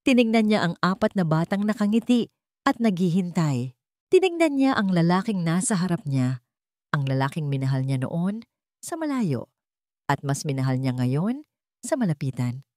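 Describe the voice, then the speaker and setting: Female narrator for scripted romance audio story, dramatic and animated, slightly low voice, studio-dry. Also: moderate pace, dry recording.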